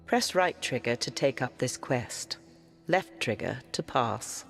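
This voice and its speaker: An actor voicing a game narrator who gives scripted instructions. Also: male voice, mid-range voice, expressive, takes it slowly, creaky, a bit bright, faint music behind, full character voice. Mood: instructive. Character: male game narrator giving button instructions.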